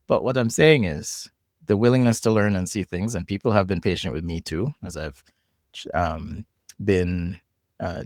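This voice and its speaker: A low-pitched man with a Jamaican accent talks through his thoughts on a podcast. He is reflective and animated, restarting phrases as he goes. The sound is dry and close. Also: dry recording. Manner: reflective, animated, frequent restarts and repeated words. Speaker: podcast guest. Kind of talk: casual conversation.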